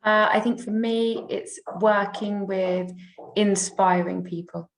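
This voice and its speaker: A woman's voice: mid-range voice, fairly even intonation, slow pace, rough recording. A woman giving short, casual answers as a guest on a panel.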